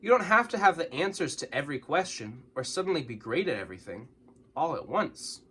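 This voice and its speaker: A man reading a children's story aloud in an expressive, dramatic way with exaggerated emphasis. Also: on the high side, fairly quick, a little hiss or hum.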